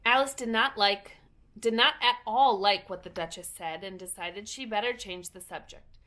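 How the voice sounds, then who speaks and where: A woman's voice: mid-range voice, normal speed, pushed and bright. A woman narrating a children's storybook as a story reader.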